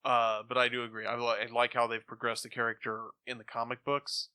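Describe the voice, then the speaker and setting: A man's voice: medium pitch, monotone, brisk, pushed and bright, clean tone, no echo. A man speaking casually as a podcast panelist, discussing and reviewing. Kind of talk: casual discussion of radio drama.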